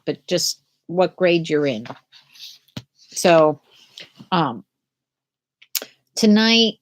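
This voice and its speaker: A middle-aged woman reads a picture book to children in an unhurried way, with plenty of rise and fall in her pitch. She pauses often and talks casually to her young listeners, asking them gentle questions as a storyteller. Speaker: storyteller hosting a read-aloud for schoolchildren. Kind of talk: live picture-book read-aloud with casual chat.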